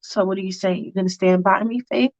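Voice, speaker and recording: A woman voicing a gruff, weary, vengeful man, speaking low and terse in a storytelling performance. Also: fairly even intonation, fast, a little mellow, natural voice. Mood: brooding. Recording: dull lo-fi sound with music behind.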